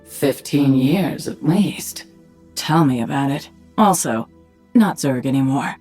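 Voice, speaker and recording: A woman's voice: a bass voice, expressive, slow, warm tone, soft background music, exaggerated voice. A woman voicing a cocky, sardonic assassin and alien queen in a video game, dry and taunting. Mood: cocky teasing.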